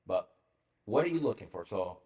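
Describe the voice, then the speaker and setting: A man with an Italian accent, Italian his first language, hosting a casual office podcast chat, animated and joking, with many fillers. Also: fairly low pitch, normal speed. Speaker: podcast host.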